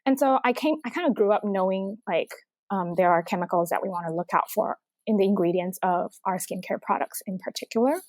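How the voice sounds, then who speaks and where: A woman from Taiwan, a company representative, talking casually in an interview and pausing often with filler words. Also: mid-range voice, a little mellow, no room sound. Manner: halting, full of filler words.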